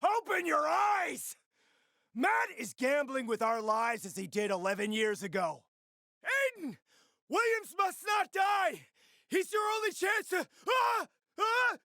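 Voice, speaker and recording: Sly smooth-talking spymaster for a game, theatrical and urbane, pitch moves around a lot, dry recording. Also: male voice, very animated, slow pace, bright, forceful tone, dry recording, raised voice, full character voice. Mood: desperate shouting, then urgent, accusing. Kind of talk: video game voice acting.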